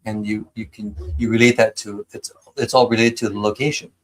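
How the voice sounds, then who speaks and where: A man's voice: fairly low pitch, flat delivery, fairly quick, a little hiss or hum, rough recording. Enthusiastic American man, casual stream chat. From San Diego, United States. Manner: enthusiastic, rambling with many fillers and restarts. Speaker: live stream host.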